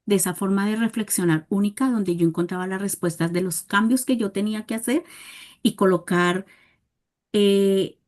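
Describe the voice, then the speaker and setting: A woman's voice: mid-range voice, moderate pace, darkish tone. A woman coach talking on a panel, telling an earnest, reflective story about her own growth. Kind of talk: panel conversation.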